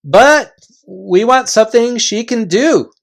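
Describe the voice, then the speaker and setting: Reader putting on a loud, blustering invisible servant chief. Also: medium pitch, lively intonation, slow, vocal fry, voice pushed up. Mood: rambling, explanatory. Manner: folksy, repetitive, rambling speechifying.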